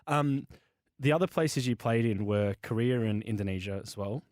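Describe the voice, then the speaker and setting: Laid-back podcast host. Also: male voice, medium pitch, normal speed, a little mellow, no echo, studio quality. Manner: easygoing, brief affirmations and slang. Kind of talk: casual podcast interview.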